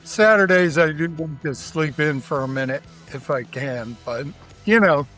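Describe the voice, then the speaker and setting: A man's voice: on the high side, moderate pace, a bit bright, soft background music. A middle-aged man with an American accent, an interview guest casually telling stories about his work. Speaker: interview guest, barbecue cook.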